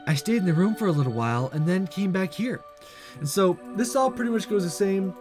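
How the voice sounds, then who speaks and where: A man with a fairly high, very animated voice hosts a visual novel playthrough. He moves between casual commentary and reading narration, with music playing behind him. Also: fairly quick. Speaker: let's play host.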